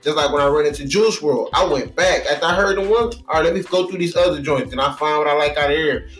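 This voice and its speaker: A man's voice: a little high, quick pace, fairly bright tone. A man co-hosting a podcast in casual, opinionated, slangy chat, often repeating his phrases. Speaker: podcast co-host. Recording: roomy, faint music underneath.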